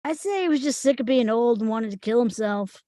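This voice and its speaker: A woman's voice: a little high, expressive, a little fast, dark tone, no room sound, studio quality, close to an everyday voice. A woman playing a cheeky, mouthy, teasing boy at a screenplay table read. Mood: complaining.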